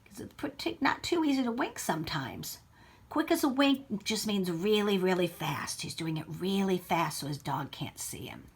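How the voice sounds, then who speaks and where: A woman reading a story aloud to children like a teacher, expressive, with explanatory asides and questions for young listeners. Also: medium pitch, creaky, breathy edge. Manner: expressive, child-directed, pausing to ask questions.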